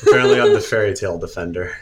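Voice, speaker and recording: A man's voice: lowish voice, huge pitch swings, rapid-fire. A man co-hosting a podcast, speaking in casual conversation. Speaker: podcast host. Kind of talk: casual discussion of manga.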